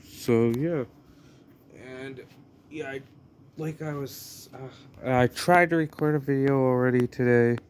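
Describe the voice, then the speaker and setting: A man's voice: medium pitch, steady tone, takes it slowly, a little mellow, slight background noise. A young American man casually commenting on a mobile game he is playing, rambling and hesitant with lots of fillers. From United States. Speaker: gameplay commentator.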